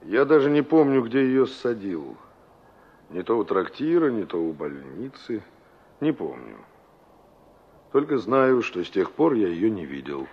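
An actor in a Soviet radio play as a pensive young engineer, musing and questioning. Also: male voice, lowish voice, sing-song, measured pace, pauses often, breathy edge, full character voice. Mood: pensive, surprised. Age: young adult. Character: pensive young engineer asking after a girl he knew. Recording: dull old radio drama recording.

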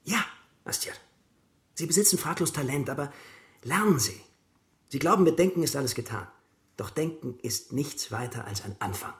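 An actor playing a brisk, condescending army captain who speaks with didactic authority in a radio drama. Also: male voice, a little high, animated, fairly bright tone. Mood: firm advising.

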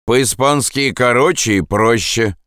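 A man voicing a rough, bossy older woman in an audiobook, speaking bluntly and with command. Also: mid-range voice, unhurried, a bit bright, no echo, studio quality, voice pushed up, close to an everyday voice. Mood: curious.

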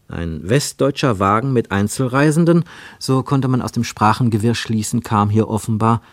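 Low-voiced man narrating, close and dry. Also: no echo. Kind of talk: scripted literary narration for a radio play.

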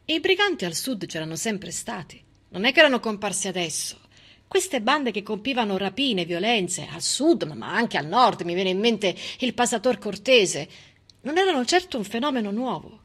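A woman delivering a theatrical storytelling monologue in a dramatic, emphatic way with deliberate pauses. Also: medium pitch, sing-song, a bit bright, breathy edge. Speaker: stage actress and narrator.

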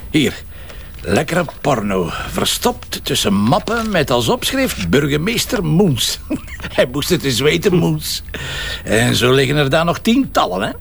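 An actor playing a blunt, cheeky police commissioner in a Flemish crime drama, speaking colloquially with a sardonic edge. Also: male voice, on the high side, sing-song, unhurried, creaky, fairly bright tone, a little hiss or hum, exaggerated voice. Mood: gleeful, teasing. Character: gruff, cheeky, mocking male police commissioner. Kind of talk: naturalistic Flemish radio crime drama acting.

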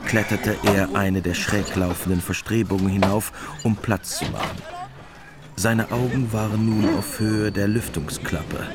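Deep-voiced male radio drama narrator. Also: background noise. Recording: clear music bed behind.

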